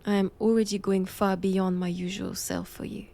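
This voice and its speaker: A woman's voice: mid-range voice, flat delivery, gravelly, dark tone, natural voice. A woman with a French accent playing a stern, righteous vampire-hunting nun in a roleplay audio drama, speaking in a formal, commanding manner. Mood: grave, condescending. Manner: slow, deliberate, formal, commanding.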